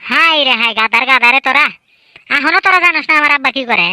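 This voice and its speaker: A woman as a bright, high-pitched scheming village boy. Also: quick pace, dry recording. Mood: boastful. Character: sly, boastful, lazy teenage village son. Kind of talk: comedy cartoon voice acting.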